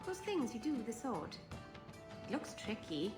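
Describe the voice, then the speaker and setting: A sweet, self-doubting young woman, rambles innocently in video game dialogue. Her voice is fairly high and very animated in pitch, with light music behind. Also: normal speed, echoey room. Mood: curious, awkward.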